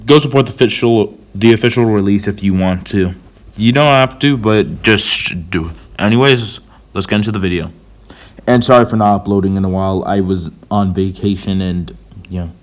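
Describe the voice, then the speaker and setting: A man's voice: on the low side, moderate pace. A man speaking casually and informally as a video creator giving an offhand introduction. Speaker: video creator and fan dubber.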